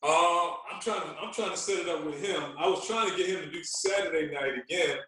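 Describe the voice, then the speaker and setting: Male DJ guest, slightly high voice, slangy casual talk, heavy room echo. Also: a little fast, pushed and bright. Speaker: podcast guest, radio DJ. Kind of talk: casual chat. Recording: very echoey, roomy remote sound.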